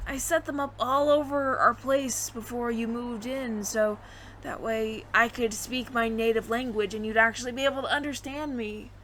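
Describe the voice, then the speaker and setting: A woman's voice: high voice, a bit bright, slight background noise. A woman voicing a friendly, flustered alien roommate who passes as human, speaking to the listener in a hesitant, stammering, earnest ramble in an audio roleplay. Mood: nervous, earnest.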